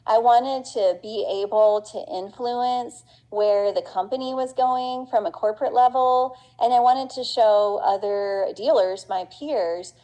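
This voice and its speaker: A woman's voice: medium pitch, mellow, rough recording. A middle-aged woman giving an earnest, chatty conference talk as a business owner, with plenty of fillers and restarts. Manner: earnest and passionate.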